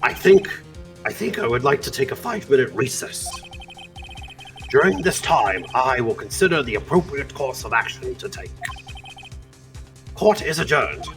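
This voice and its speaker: A man's voice: mid-range voice, sing-song, normal speed, pauses often, distant mic, over music, lightly acted. A man voicing a flustered, formal elderly male judge with a pompous, befuddled manner in a comedic live game dub. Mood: flustered authority.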